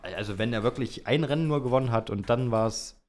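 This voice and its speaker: A man's voice: mid-range voice, brisk. A young man co-hosting a podcast, talking informally and rambling as he thinks aloud. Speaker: podcast co-host. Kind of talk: casual podcast chat.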